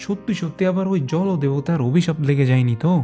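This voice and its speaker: Man voicing a whiny, higher-pitched greedy woodcutter. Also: fast talker, warm tone, soft background music, close to an everyday voice. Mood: self-pitying, worried. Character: greedy dishonest woodcutter, whining and self-pitying. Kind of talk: animated folk-tale dialogue.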